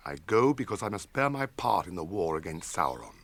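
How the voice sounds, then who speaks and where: A man's voice: deep voice, big pitch swings, brisk, vocal fry, a bit bright, exaggerated voice. An actor playing a stern, resolute noble ranger lord in a radio drama, speaking formally and declaiming his lines. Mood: grave, gentle. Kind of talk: classic radio drama acting.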